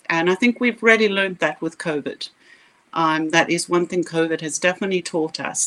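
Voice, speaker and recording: A middle-aged woman with a South African accent talks as a guest scientist in a podcast interview. Her voice is bright and clear-edged. She speaks earnestly, with emphatic, passionate conviction. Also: deep voice.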